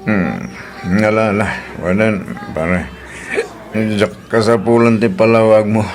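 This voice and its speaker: A man's voice: fairly low pitch, steady tone, slow, faint music behind. A man with an Ilocano accent acting a troubled, weary husband in a radio drama. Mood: tipsy banter. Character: Oliver, troubled husband, weary and later tipsy.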